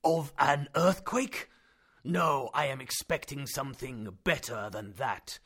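A man voicing a calm older professor who speaks in a slow, measured, confident way. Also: mid-range voice, husky. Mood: calmly certain. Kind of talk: audiobook character reading.

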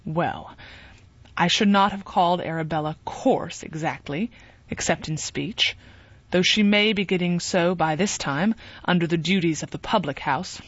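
A woman's voice: lowish voice, unhurried, breathy edge, dry recording, dull audio. Vrouw speelt kalme, redelijke jongeman. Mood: calm.

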